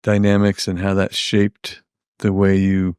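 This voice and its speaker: A middle-aged man hosting a casual interview, speaking in halting, thoughtful phrases that trail off. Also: low voice, completely flat delivery, a little mellow, smooth, no room sound, studio quality. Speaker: podcast host.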